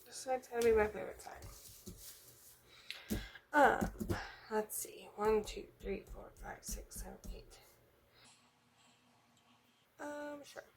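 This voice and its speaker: Woman, froggy hoarse voice, slow unhurried chatter, thinks aloud, faint hiss behind. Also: on the high side. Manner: thinks aloud, counts under breath, unhurried. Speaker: planner vlogger. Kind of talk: casual talk while drawing a journal spread.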